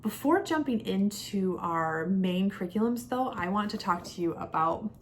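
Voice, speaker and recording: A woman's voice: medium pitch, animated, normal speed, a little hiss or hum. A young adult woman talking casually to camera in a product review, chatty and conversational, leaning on key words. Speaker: homeschooling mother and vlogger.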